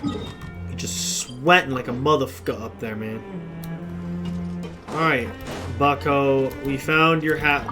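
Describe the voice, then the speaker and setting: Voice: mid-range voice, takes it slowly, pauses often. Slangy gamer commentary over music. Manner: slangy, playful, muttering asides. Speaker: gaming streamer. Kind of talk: casual gameplay reaction commentary. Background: game music.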